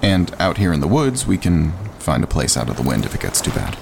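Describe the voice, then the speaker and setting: An actor playing a calm, practical male wilderness hiker with a dryly teasing manner, in a naturalistic audio drama. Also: a little fast, full character voice. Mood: patient. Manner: level-headed, dryly teasing. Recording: clear outdoor ambience and rumble.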